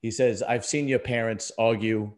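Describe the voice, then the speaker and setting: A man's voice: medium pitch, fairly even intonation, moderate pace. A middle-aged man talking casually as a podcast host, blunt and emphatic, often repeating himself for stress. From US East Coast. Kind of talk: casual conversational podcast talk.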